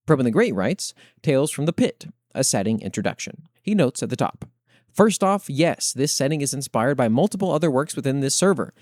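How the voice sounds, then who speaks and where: Man, podcast host, medium pitch, measured storytelling delivery, expressive fiction reading, dry recording. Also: no room sound. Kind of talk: expressive reading of written fiction with spoken commentary.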